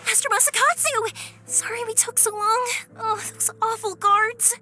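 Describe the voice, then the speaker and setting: A woman's voice: extremely high pitch, fairly even intonation, normal speed, bright, forceful tone, heavily acted. A woman voicing a cheerful, spirited young fireworks maker in a video game, with a bubbly, eager manner. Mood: concerned, grateful. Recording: music behind the voice.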